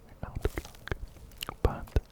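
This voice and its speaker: A man whispering softly and breathily, close up to a binaural microphone, gently repeating phrases as he gives soothing ASMR game commentary. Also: slow pace. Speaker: ASMR host playing a video game.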